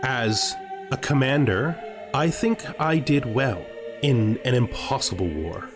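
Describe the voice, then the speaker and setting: A man playing a proud, zealous vampire commander in a measured, grandiose game roleplay monologue. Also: mid-range voice, animated. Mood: solemn pride. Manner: solemn, grandiose, measured oratory. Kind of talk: dramatic in-character roleplay monologue. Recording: music behind the voice.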